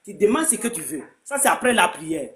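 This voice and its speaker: Man, breathy voice, halting, repeats himself, casual explanation. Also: high-pitched, very animated, sharp tone. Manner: halting, repeats words, many restarts. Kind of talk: casual street conversation.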